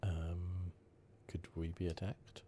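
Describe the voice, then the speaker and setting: A soft-spoken man with an extremely deep, relaxed voice hosts a gaming playthrough. He chats casually and a little hesitantly in ASMR style. Reverb and a faint hiss sit around him. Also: slow pace. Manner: casual, hesitant, chatty asides. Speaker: ASMR gaming channel host.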